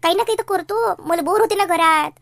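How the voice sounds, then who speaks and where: A woman's voice: extremely high pitch, brisk, creaky, fairly bright tone, no echo, heavily acted. A woman playing a pleading, stubborn young daughter in a cartoon comedy, with a Varhadi Marathi accent and a whiny, argumentative manner.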